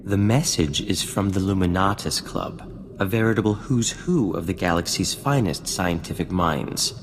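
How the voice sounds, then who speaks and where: An actor playing a vain, charming scientist in a video game, talking in grandiose, courtly phrases. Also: male voice, low-pitched, normal speed, big-room sound, natural voice. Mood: proud, grand. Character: flamboyant, vain, charming male scientist and self-styled genius. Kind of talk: scripted video game acting. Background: music.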